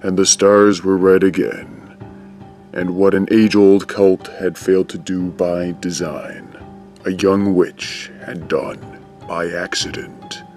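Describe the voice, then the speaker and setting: A grave narrator of cosmic horror, voiced by a man speaks very low and slowly. The delivery is solemn and portentous, over music in a radio play. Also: level tone, a little mellow. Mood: ominous. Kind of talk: scripted dramatic narration.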